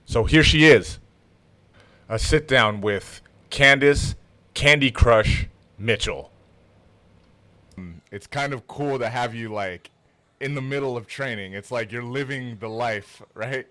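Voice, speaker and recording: A man's voice: mid-range voice, slow pace, sharp tone. A man from Toronto hosting a podcast in a casual, conversational interview style. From Toronto, Canada.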